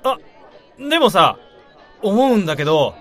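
A man's voice: sits high, sing-song, unhurried, a bit bright, soft background music, a faint crowd, heavily acted. An actor playing a sulky, whiny, lovelorn young man in a radio drama, with blunt, casual, exclamatory speech. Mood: musing, awkward.

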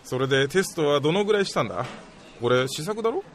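Man acting a gruff boss, lively intonation. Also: a little high, fast talker, a little hiss or hum, close to an everyday voice. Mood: impressed, curious. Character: gruff, skeptical male lab chief, easily impressed. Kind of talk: comedic radio drama acting.

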